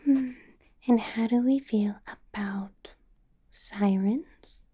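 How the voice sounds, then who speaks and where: A woman acts out a female vampire boss in an ASMR roleplay, whispering in a soft, dark, breathy voice. She is possessive and doting, coaxing the listener with an undercurrent of menace. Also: on the high side, full character voice. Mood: coaxing, businesslike. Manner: slow, coaxing, with small chuckles and pauses.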